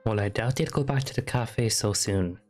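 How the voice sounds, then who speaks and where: A man's voice: fairly low pitch, moderate pace, a little mellow. A man streaming a game playthrough with casual, offhand commentary. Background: game music.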